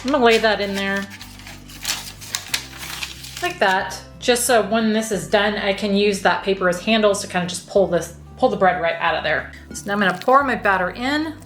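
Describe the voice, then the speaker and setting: A woman hosting a home baking video, explaining each step in a chatty, relaxed way. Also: mid-range voice, moderate pace, roomy sound, soft background music. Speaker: cooking channel host. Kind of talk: casual baking demonstration. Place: home kitchen.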